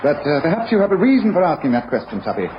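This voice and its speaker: A man playing a keenly observant English gentleman detective in a radio drama, brisk, probing and precise. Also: a little high, sing-song. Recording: muffled, noisy old radio recording.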